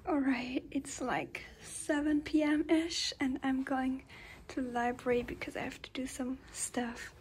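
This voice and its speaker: A soft-spoken young woman chats through a personal diary vlog, her gentle, breathy voice often sinking to a whisper. She takes her time, unhurried and slow, and her pitch stays fairly level, sitting a touch high for a woman. There is a faint hiss behind her. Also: flat delivery. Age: young adult. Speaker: university student vlogger. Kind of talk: casual diary-style vlog chat.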